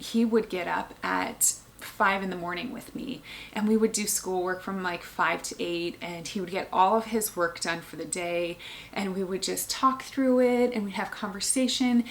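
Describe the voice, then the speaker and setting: A woman, a homeschooling mother talking casually to camera in an earnest way, thinking aloud with frequent ums and pauses. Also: lowish voice, fairly bright tone, slight background noise.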